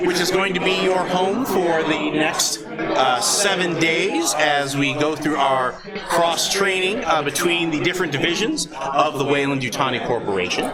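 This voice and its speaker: High-pitched man game master over loud crowd. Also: normal speed. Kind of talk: improvised in-character narration at a live tabletop game. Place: large convention hall, before an audience. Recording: live convention hall with loud crowd.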